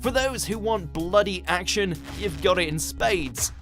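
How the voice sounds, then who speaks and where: A man's voice: high voice, unhurried, over loud music. A man reading scripted narration as the narrator of a ranked countdown video.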